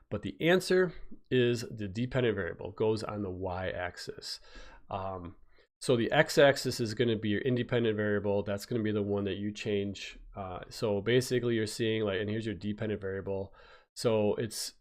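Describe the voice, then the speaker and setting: A man who is a physics teacher, giving a casual live-streamed exam review while stuffy from a cold, rambling with fillers and restarts. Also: lowish voice. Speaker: high school physics teacher. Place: home, recording a YouTube live stream.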